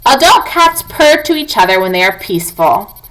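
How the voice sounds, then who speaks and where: A woman teacher reading non-fiction aloud to young students in an expressive, clearly enunciated, instructive way. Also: mid-range voice, normal speed, rough recording.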